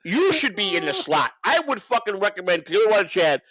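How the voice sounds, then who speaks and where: Man, fairly high voice, livestream co-host, rambling, profane, repetitive, very dry sound. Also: sing-song, fairly quick, sharp tone, no echo. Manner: profane, rambling, repeats himself for emphasis. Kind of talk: unscripted livestream rant and banter.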